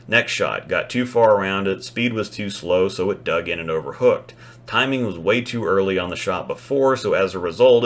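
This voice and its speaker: A man's voice: deep voice, fairly bright tone. A man narrating a bowling lesson in a matter-of-fact, analytical voice-over. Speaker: bowling instructor. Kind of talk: scripted instructional voice-over.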